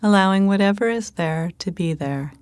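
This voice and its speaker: A woman leading a guided meditation in a calm, gentle manner, giving unhurried instructions with pauses. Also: medium pitch, clean tone, dry recording.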